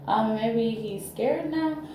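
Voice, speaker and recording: A woman's voice: on the high side, warm tone, slight background noise. Anxious woman in an echoey room. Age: 30s. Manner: halting, anxious, searching for words. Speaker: counseling client in a role-play. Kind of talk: emotional personal disclosure.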